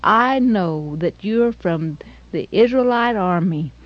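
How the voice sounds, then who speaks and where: A woman voicing a frightened woman who feigns shocked surprise, with breathless, high exclamations. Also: animated, slow pace. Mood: earnest pleading. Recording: dull lo-fi recording.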